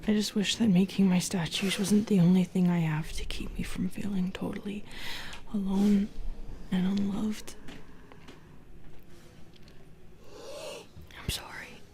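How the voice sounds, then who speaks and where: A woman playing a bitter, lonely, seductive Medusa in an intimate audio roleplay, half-whispering in a breathy, brooding way. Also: mid-range voice, level tone, moderate pace, dark tone, a little hiss or hum, natural voice. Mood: wounded, lonely. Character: bitter, seductive, lonely female Medusa who turns people to stone.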